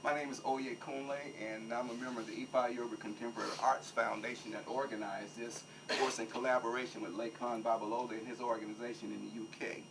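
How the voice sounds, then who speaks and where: A man giving a measured, earnest speech as organizer at a museum exhibition opening. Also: mid-range voice, level tone. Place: museum hall. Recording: distant mic with faint noise.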